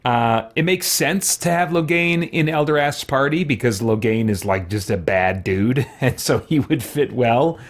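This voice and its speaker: A man's voice: mid-range voice, measured pace, hardly any pauses. Casual man with a mid-range voice. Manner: informal, thinking aloud. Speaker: let's play host. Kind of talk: casual gaming commentary.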